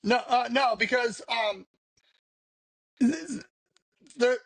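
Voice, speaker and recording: Gravelly man, frank and rambling. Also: very high voice, steady tone, takes it slowly, a bit bright, dry recording, rough recording. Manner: rambling, frank, many "you know" fillers. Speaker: podcast guest. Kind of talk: candid conversational interview.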